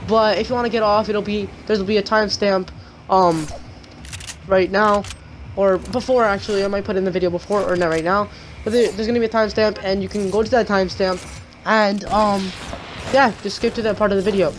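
Raspy-throated gameplay tutorial narrator. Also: mid-range voice, steady tone, brisk, a little hiss or hum. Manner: rambling, chatty explaining. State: sick, sore throat. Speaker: gaming channel host.

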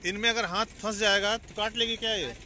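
A man with a fairly high voice hosts a casual vlog, asking curious questions and explaining as he goes. He often repeats what he is told. The handheld phone picks up clear outdoor harbour noise around him. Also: fairly even intonation, brisk, pushed and bright. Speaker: vlogger interviewing fishermen. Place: outdoors on fishing boats at a harbour. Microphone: handheld phone, behind the camera. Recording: noisy outdoor phone recording, engine and harbour ambience.

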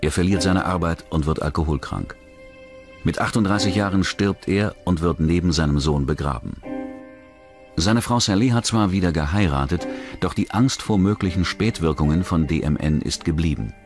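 A man's voice: deep voice, moderate pace, a little mellow, faint music behind. A man reading scripted true-crime documentary narration as the narrator.

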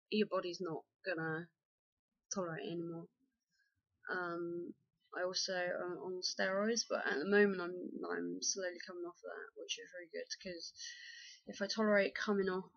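Young vlogger, gravelly fry, dull dry webcam sound, rambly casual talk. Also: male voice, high-pitched, fairly bright tone, no echo. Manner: rambling, many fillers and restarts. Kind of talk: casual personal chat to camera. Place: dim bedroom indoors. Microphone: webcam at close range.

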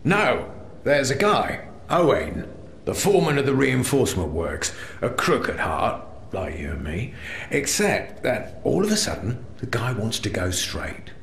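An actor playing a shady, mocking crime boss in a video game, smug and conspiratorial. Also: male voice, mid-range voice, huge pitch swings, unhurried, creaky, a bit bright, roomy sound, a little hiss or hum, heavily acted. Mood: menacing, smug. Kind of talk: video game character acting.

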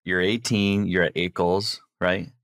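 Deep-voiced chatty male podcast host. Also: steady tone, measured pace, a bit bright, dry recording, studio quality. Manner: chatty, rambling, with repeated restarts. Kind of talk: casual interview.

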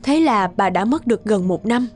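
A woman voicing a worried middle-aged mother in an animated folk tale, speaking in a measured, grave way over music. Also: medium pitch, voice pushed down, lightly acted. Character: worried middle-aged mother recounting a sad family past. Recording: music under the voice.

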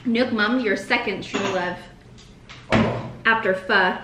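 A young woman from the United States co-hosting a food vlog, chatting casually at the dinner table, laughing often and saying short phrases slowly for her partner to repeat. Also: medium pitch. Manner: laughs often, teaches slow careful phrases. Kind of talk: casual chat. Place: bright dining room indoors, at a table. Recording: echoey room, faint background hiss.

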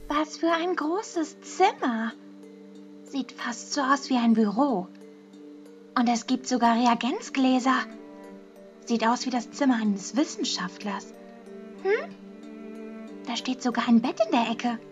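A woman's voice: a little high, animated, slow pace, pauses often, highs cut off, over music. A woman playing a playful, childlike teenage girl in an anime audio drama, with a naive, curious, singsong manner.

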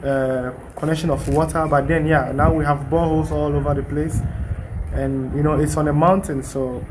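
Young man, casual phone vlogger, slightly high voice, light outdoor background noise. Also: level tone, moderate pace. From Ghana. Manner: chatty, informal, with frequent fillers and restarts. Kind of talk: casual selfie vlog. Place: covered porch of a building site, outdoors. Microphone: phone at arm's length.

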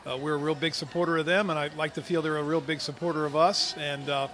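Jokey, relaxed man at an outdoor party. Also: fairly high pitch, fast. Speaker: video producer guest. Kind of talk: casual remarks to camera. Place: open garage outdoors. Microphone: handheld mic.

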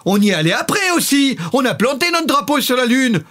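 A man's voice: very high voice, sing-song, fairly quick, bright, forceful tone, full character voice. A man playing an irritable, foul-mouthed, sarcastic American president in a comedic science-fiction radio play, ranting in exasperated everyday slang. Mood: outraged ranting. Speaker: actor in an audio drama.

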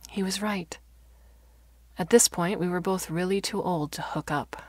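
Low-pitched, expressive woman narrating fiction. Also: measured pace, no room sound. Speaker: story narrator. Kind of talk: dramatic audiobook narration of fiction.

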